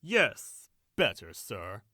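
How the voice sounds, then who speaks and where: A man's voice: fairly low pitch, wildly swooping pitch, extremely slow, sharp tone, no room sound, clean studio sound, heavily acted. An actor playing a brash, boastful young male servant with a crude swagger in an audio drama. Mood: cocky.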